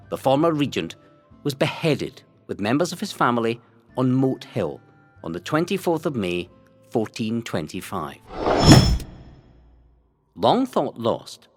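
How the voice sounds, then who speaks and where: A man reading scripted historical narration in a measured, dramatic storytelling way. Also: medium pitch, dry recording. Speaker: narrator of a local history audio guide. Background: music.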